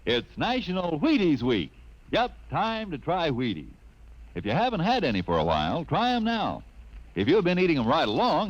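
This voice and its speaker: A man with a chummy, folksy pitch for a 1950s radio sponsor. His slightly high voice swoops up and down a lot. The sound is dull and lo-fi. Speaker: sponsor announcer. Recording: dull lo-fi old radio recording.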